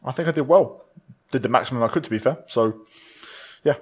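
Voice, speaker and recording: A man giving live commentary on a game as he plays it, thinking out loud. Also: medium pitch, a little fast, husky. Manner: thinks aloud while playing. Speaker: gaming video creator, player.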